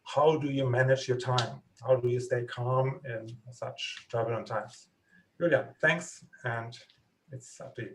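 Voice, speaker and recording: A man moderating an online talk, reading out an audience question in a hesitant, halting way. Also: mid-range voice, fairly even intonation, a little hiss or hum. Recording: distant mic.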